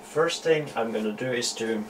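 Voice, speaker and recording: A young man from Norway with a Norwegian accent, showing off a homemade electronics project in a small room at home, explaining it haltingly with many fillers and self-corrections. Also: medium pitch, heavy background noise. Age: young adult. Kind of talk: hobbyist electronics demo.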